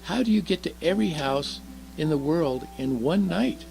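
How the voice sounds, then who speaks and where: A man voicing an excited, wide-eyed little girl full of eager questions, reading from a storybook. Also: fairly high pitch, normal speed, gravelly, faint music behind, voice pushed up, poor audio. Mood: curious.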